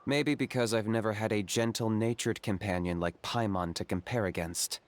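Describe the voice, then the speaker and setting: Man playing a deadpan, cool young hunter. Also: fairly low pitch, moderate pace, a little hiss or hum, natural voice. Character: calm young male hunter, dry wit. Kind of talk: game cutscene voice acting.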